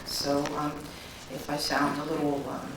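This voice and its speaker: A woman, hoarse from a cold, giving an informative presentation at a county meeting with frequent ums. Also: low-pitched, completely flat delivery, unhurried. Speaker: county office director. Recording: echoey room, distant mic, background noise.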